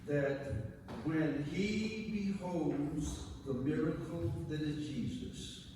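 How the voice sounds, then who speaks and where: A man's voice rings through a very echoey church hall, picked up from a distance. He delivers a solemn reading like an orator, very slowly and deliberately. His pitch sits on the high side for a man, and his phrasing is dramatic and emphatic. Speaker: reader at a church service. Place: large reverberant church indoors. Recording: very echoey church hall, far mic, noisy.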